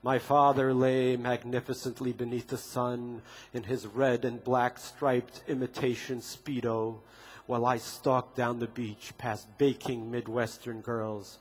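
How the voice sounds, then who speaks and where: A man's voice: medium pitch, flat delivery, unhurried, slightly rough, poor audio. A man reading aloud from his own memoir at a literature festival, with a dry, ironic delivery and comic timing. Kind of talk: reading aloud from a memoir, wry deadpan humor. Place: festival stage before an audience.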